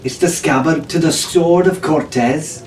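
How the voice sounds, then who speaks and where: A man's voice: a little high, a little slow, a little mellow, recorded from a distance, lightly acted. In a roomy, echoing space with faint noise, a man acts out a strong-willed teenage tavern girl from a storybook. She knows her legends and delivers them earnestly and firmly. The voice comes from slightly off the mic. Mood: excited, awed.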